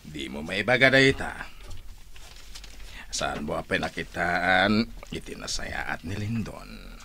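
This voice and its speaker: A man's voice: deep voice, lively intonation, takes it slowly, gravelly, bright, forceful tone, a little hiss or hum, full character voice. A Filipino man playing a stern, emphatic accuser who confronts a crime boss in a radio drama. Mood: reproachful.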